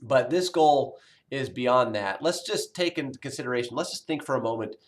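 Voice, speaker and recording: A man's voice: medium pitch, lively intonation, normal speed. A man in his forties, a college health instructor, talking casually to his online students in a recorded video message, rambling with many fillers and restarts. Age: 40s.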